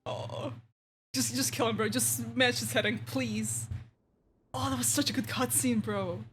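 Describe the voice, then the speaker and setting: A woman game streamer giving casual, excited commentary in a slangy, gushing, reactive way. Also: on the high side, measured pace, slight background noise. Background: game music.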